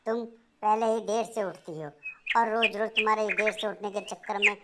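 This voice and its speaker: A woman's voice: mid-range voice, steady tone, normal speed, very noisy, natural voice. A woman voicing a hard-working, put-upon husband bird in a children's cartoon story, talkative and reproachful. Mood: exasperated.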